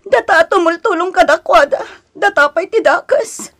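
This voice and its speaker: A woman's voice: very high-pitched, moderate pace, fairly bright tone. A Filipino woman with an Ilocano accent acting an aggrieved young wife in a radio drama, plaintive and protesting. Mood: hurt. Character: aggrieved young wife, resentful of her in-laws.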